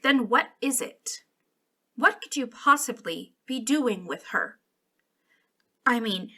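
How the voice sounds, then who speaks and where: A woman's voice: on the high side, sharp tone, exaggerated voice. A woman playing an arrogant, jealous young princess in a dramatic ASMR roleplay, imperious but wounded and emotional. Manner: imperious, emotional, halting when hurt. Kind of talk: ASMR roleplay, dramatic one-sided dialogue.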